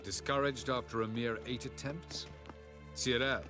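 An actor playing a stern, aloof elven sage and teacher in a fantasy game, speaking formally and with cold condescension. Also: male voice, mid-range voice, fairly even intonation, unhurried, over music, lightly acted. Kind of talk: dramatic fantasy game acting.

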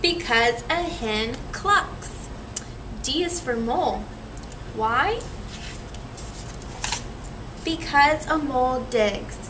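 A woman's voice: high-pitched, drawn-out words, pauses often. A young woman reading a picture book aloud to children in a teacherly way, prompting them with questions. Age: young adult. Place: small indoor room, seated in a chair. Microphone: camera a few metres away. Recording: distant camera mic, clearly noisy room.

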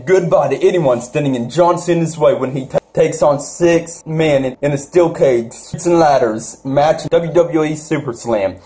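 Guy acting as a pushy prank caller doing wrestling promo pitches, mellow voice. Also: normal speed, few pauses, slight background noise, close to an everyday voice. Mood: hyped, teasing. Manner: relentless, promotional. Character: pushy prank caller acting as a hype wrestling pay-per-view announcer. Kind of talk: sales pitch on a prank call.

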